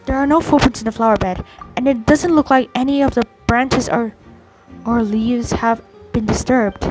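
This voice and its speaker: Female game streamer, slightly high pitch, stumbling read-aloud of on-screen dialogue, light music underneath. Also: normal speed, rough recording. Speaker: gameplay streamer. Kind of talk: reading game dialogue aloud during a playthrough.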